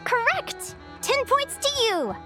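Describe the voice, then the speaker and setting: A woman's voice: very high voice, big pitch swings, slow, over music, full character voice. A woman voicing a young, cheerful adventuring instructor in an anime game, with a playful, encouraging teacherly manner. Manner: playful, encouraging, quizzing like a schoolteacher. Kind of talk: anime video game voice acting.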